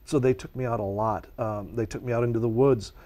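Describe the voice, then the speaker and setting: Czech-native male guest, mid-pitched, eager, passionate talker on nature. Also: steady tone, brisk, warm tone. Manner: earnest and passionate, speaks at length. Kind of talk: conversational interview answers.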